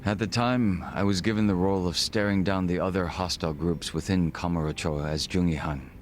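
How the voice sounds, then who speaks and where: A man voicing a refined, reserved gangster in a video game cutscene, speaking in formal, measured, polite phrases. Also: deep voice, steady tone, noisy background, lightly acted. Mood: grave, reflective. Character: refined, reserved Korean gangster, a former body double.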